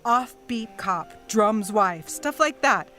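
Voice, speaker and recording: An actress with an American accent playing a playful, teasing young wife in an audio drama. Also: female voice, mid-range voice, lively intonation, slow, sharp tone, faint music behind. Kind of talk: period radio drama acting.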